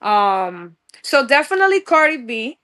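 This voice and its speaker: A woman's voice: a little high, sing-song, measured pace, a bit bright. A young woman from New York with a New York accent, gushing in a casual podcast interview and mixing in street slang. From New York, USA. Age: young adult. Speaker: guest musician.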